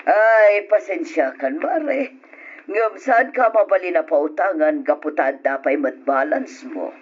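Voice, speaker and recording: Casting: sly, greedy female lender, Ilocano accent, unhurried, swooping delivery, old radio drama. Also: fairly low pitch, lively intonation, highs cut off. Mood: stern, dismissive. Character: hard-nosed, greedy woman moneylender, sly and taunting.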